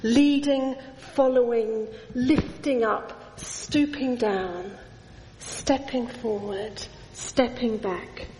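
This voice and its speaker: Slow-speaking woman preaching in echoey church. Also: a little high, dark tone, a little hiss or hum. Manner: measured, with slow emphatic lists. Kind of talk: sermon.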